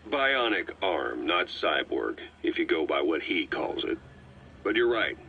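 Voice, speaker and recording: An actor playing a composed, knowledgeable military intelligence advisor in a video game, talking in a measured, matter-of-fact way. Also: male voice, low-pitched, sing-song, gravelly, phone-quality sound, heavily acted. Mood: composed, informative. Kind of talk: acted taped conversation in a video game. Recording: band-limited cassette tape, faint noise.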